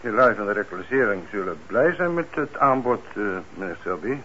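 A middle-aged police officer in a radio play. His voice is fairly low, and he is direct and probing but fair. The old recording sounds dull and slightly noisy. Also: very animated, a little fast, bright, forceful tone. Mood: encouraging. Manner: direct, questioning. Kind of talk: radio drama acting.

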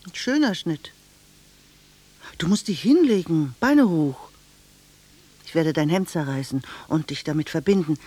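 An actress playing a blunt, wistful middle-aged village cashier in a German radio drama, speaking in a deliberate, intimate way. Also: female voice, deep voice, expressive, dark tone, husky, heavily acted. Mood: brisk, bossy.

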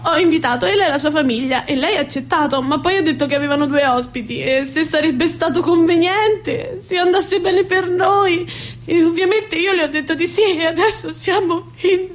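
A scatterbrained young society daughter with a very high voice, acted by a woman. She is fretful, excitable and pleading, in the manner of a theatrical radio comedy. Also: moderate pace. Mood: panicked, sheepish.

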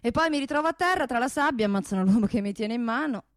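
A woman's voice: on the high side, lively intonation, quick pace, no room sound, studio quality, lightly acted. A woman playing a proud, world-weary talking pistol in a radio theatre monologue, bossy and sardonic in a dramatic storytelling way.

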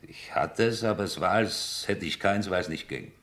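A man playing a gruff, suspicious witness in a radio crime drama, curt, grudging and defensive. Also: sits low, normal speed, fairly bright tone. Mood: evasive, grudging.

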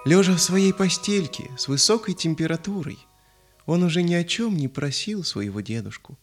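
A man narrating a scripted children's audio story in an expressive, dramatic manner. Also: a little high, moderate pace. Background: background music.

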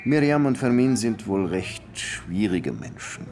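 An actor playing a weary, sardonic police detective in a German radio crime drama, speaking in a dry, probing way. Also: male voice, on the low side, expressive, unhurried, darkish tone.

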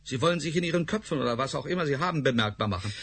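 A man's voice: medium pitch, expressive, very fast, fairly bright tone, slightly rough. An actor playing a commanding, sarcastic male research director in a radio play. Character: stern male director Doktor Bergson, authoritarian.

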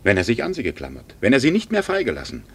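An actor in a German radio drama playing a worldly, wounded, ironic gentleman with formal speech and a gravelly, husky voice. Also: mid-range voice, huge pitch swings, fast talker, full character voice. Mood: incredulous, jealous. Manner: ironic, formal, slipping into hushed murmurs.

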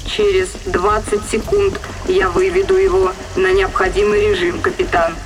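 An actress playing a spaceship's female computer in an audio drama, making measured, formal system announcements. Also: medium pitch, very animated, background noise, lightly acted. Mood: calm, procedural. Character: female ship's computer "Mama", calm, obedient, precise.

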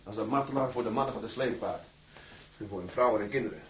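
A man's voice: fairly low pitch, quick pace, background noise, lightly acted. An actor playing an imprisoned seaman, a righteous and obsessive self-styled martyr, in a vehement, declamatory drama style. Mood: fervent. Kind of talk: dramatized radio or audio drama acting.

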